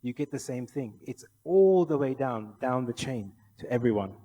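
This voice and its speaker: Mellow-voiced man speaking in a reverberant hall. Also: medium pitch, expressive, normal speed. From Malaysia. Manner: persuasive, rhetorical questions to the audience. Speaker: conference speaker, kennel federation official. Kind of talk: conference presentation. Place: large indoor conference hall. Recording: echoey hall with long reverb.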